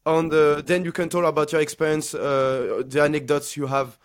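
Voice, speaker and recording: A man's voice: on the high side, level tone, moderate pace. A man, hosting and interviewing a guest on a podcast. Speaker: podcast host.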